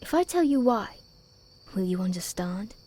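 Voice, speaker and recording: A woman playing a precocious, charming young boy in a gothic audio drama, teasing, polite and deliberate. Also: medium pitch, very animated, mellow.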